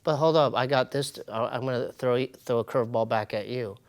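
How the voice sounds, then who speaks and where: A man speaking as a podcast guest in a casual interview, with a candid, animated and slangy way of talking. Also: mid-range voice, fast talker. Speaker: podcast guest, comedian and hip-hop artist.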